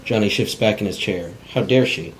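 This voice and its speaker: A man's voice: lowish voice, moderate pace, slight background noise, low-quality recording. A man narrating script directions in a plain, unpolished cold read, stumbling now and then. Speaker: narrator reading stage directions.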